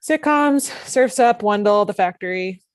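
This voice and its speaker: A woman's voice: mid-range voice, animated, a little slow, dry recording, natural voice. Woman playing dry, skeptical woman in her thirties. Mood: dry skepticism. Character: polite, skeptical 35-year-old female TV executive. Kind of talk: comedy table read.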